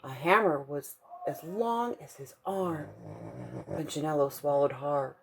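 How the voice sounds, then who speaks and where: A woman reading a children's bedtime story aloud for a church kids' ministry, expressive and slowing down to stress key moments. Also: low voice, a little hiss or hum.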